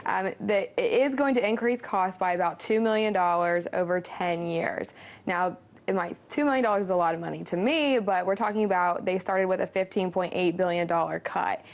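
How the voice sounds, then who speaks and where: A woman's voice: fairly low pitch, moderate pace. A woman giving matter-of-fact, detailed commentary as a financial analyst on a news panel.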